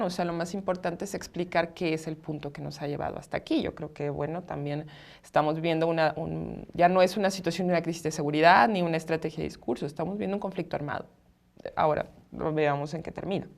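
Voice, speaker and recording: A woman's voice: fairly low pitch, gravelly. A woman journalist explaining a situation as a studio interview guest, in considered, spontaneous phrases.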